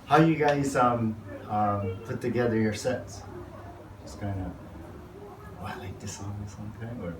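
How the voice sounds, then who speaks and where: A man's voice: lowish voice, moderate pace. A man, a pastor, leading a casual workshop Q&A and rambling relaxedly with lots of "you know.". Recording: roomy, far from mic, noisy.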